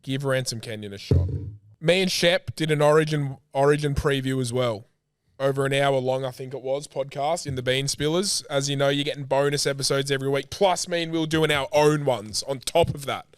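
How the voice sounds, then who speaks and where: A man's voice: medium pitch, a little fast, clean tone, no room sound, clean studio sound. A man with an Australian accent co-hosting a podcast, chatting casually and swearing freely. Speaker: podcast host. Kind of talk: casual banter, frequent swearing.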